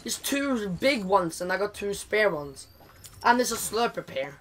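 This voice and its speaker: A man's voice: sits high, big pitch swings, unhurried, a bit bright. Guy with a cracking voice. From Norway. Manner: laid-back, swears, laughs often. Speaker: gaming teammate. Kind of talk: casual gaming chat.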